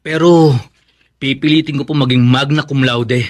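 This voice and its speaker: An actor playing a meek, respectful college student son in a Filipino radio drama, polite and earnest. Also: male voice, mid-range voice, steady tone, normal speed, natural voice. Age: young adult.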